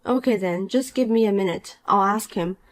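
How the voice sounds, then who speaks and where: A woman voicing a calm, cool married young woman in a dramatized story, dry and patient. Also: medium pitch, normal speed, natural voice.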